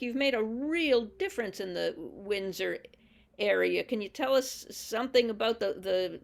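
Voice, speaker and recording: A woman hosting a casual Q and A, asking quick encouraging questions on a video call. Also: fairly high pitch, gravelly. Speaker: host.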